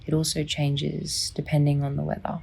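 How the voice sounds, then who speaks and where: Soft, breathy, husky woman guiding meditation. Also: low voice, completely flat delivery. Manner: soothing, gentle, unhurried, with long pauses. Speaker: psychologist guiding a sleep meditation. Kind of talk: guided sleep meditation, scripted narration.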